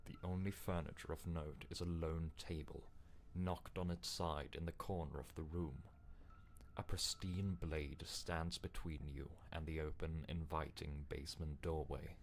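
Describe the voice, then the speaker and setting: A man voicing a controlling, insistent narrator in a storybook-like game, measured and authoritative, over faint noise. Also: extremely low pitch, fairly even intonation. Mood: grave instruction. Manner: measured, authoritative, exasperated when challenged. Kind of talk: scripted second-person game narration.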